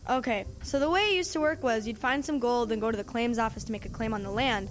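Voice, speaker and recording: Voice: mid-range voice, fast, noisy background, lightly acted. A young performer playing a brash, sarcastic kid who talks bluntly and in slang in an audio drama. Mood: eager storytelling. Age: child. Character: brash, sarcastic wisecracking kid, eager storyteller. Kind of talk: youth audio drama acting.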